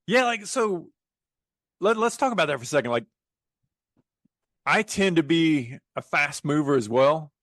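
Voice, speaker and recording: A man's voice: a little high, animated, unhurried, long pauses, a bit bright, dry recording, clean studio sound. A man hosting a conversational business interview, agreeable and informal as he thinks his questions through aloud. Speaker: show host, interviewer.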